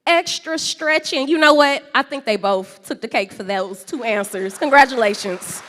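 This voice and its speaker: Loud, animated woman in an echoey hall. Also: high-pitched, moderate pace, slight background noise. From St. Louis, USA. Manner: loud, animated, playful with the audience. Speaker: guest speaker. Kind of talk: lively public talk with audience games.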